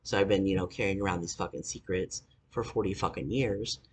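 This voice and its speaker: A man in his forties telling a personal story to camera, halting with fillers and angry swearing. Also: sits low, breathy edge. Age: 40s. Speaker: vlogger. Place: indoors, dim room.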